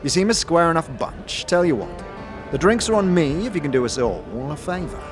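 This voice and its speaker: A man's voice: fairly high pitch, sing-song, brisk, voice pushed up. A man voicing a chatty, shrewd but friendly tavern barkeep in a fantasy audio drama, with breezy slangy patter. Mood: shrewd, bargaining. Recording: music under the voice.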